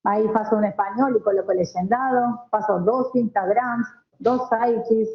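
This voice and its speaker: A woman's voice: fairly high pitch, moderate pace, vocal fry, roomy sound, muffled recording. A woman from Argentina with an Argentine accent and native Spanish, talking casually as a guest and mixing Portuguese with Spanish. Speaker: guest, marketing professional.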